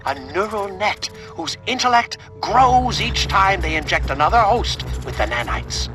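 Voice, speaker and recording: An actor playing an analytical male scientist in a video game, explaining gravely in formal, precise phrases. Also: high-pitched, lively intonation, moderate pace. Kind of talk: video game cutscene acting. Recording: music behind the voice.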